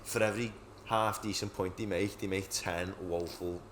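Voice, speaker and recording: A man's voice: low voice, steady tone, moderate pace, a bit bright, a little hiss or hum. A young man co-hosting a podcast, chatting casually and telling stories with lots of swearing and laughter. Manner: sweary, storytelling, laughs often. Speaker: podcast co-host. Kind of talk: casual chat.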